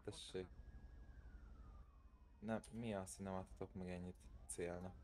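A man with a fairly low voice talks casually in a gaming session. His mic carries clear noise. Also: flat delivery. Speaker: player in a role-play game. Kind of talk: casual chat during online gameplay. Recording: noisy game voice chat.

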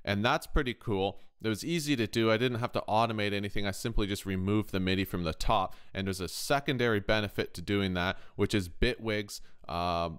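Man, lowish pitch, very clean smooth voice, conversational tutorial host, thinking aloud, informal. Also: a little fast, hardly any pauses, fairly bright tone. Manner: conversational, thinking aloud, often checking with "okay" and "right". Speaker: music production tutorial host. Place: indoors, voice-over on a screen recording.

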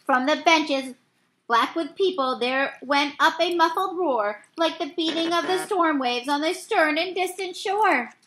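Woman in her thirties, high-pitched, forceful bright tone, storybook poem read-aloud, halting delivery with self-corrections. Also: slight background noise, low-quality recording. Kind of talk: reading a poem aloud from a picture book. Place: small indoor room.

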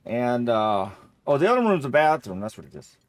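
A man's voice: medium pitch, very animated, a little fast, no echo. A middle-aged man giving casual, rambling, easily amused commentary as he plays a game. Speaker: gamer playing through a game.

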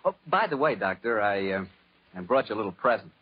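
A man's voice: on the low side, sing-song, fairly quick, breathy edge, no echo. An American man working as a 1940s radio announcer and host, delivering folksy scripted patter. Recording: muffled vintage 1940s radio broadcast.

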